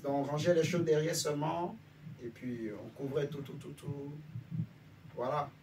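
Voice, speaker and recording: A man from Benin gives an informal sermon to a small congregation in a conversational voice that sits a touch high. He keeps asking his listeners whether they follow him. He is recorded from a distance, with faint noise behind him. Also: fairly even intonation, moderate pace, a little mellow. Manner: conversational, asks listeners for agreement. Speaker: preacher.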